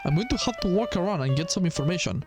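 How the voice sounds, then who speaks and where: A high-voiced man plays through a game, reading the on-screen text aloud and dropping in casual asides. His delivery is lively and swings up and down, with music playing behind him. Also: fast. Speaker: gaming video host. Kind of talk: game playthrough commentary, reading dialogue aloud.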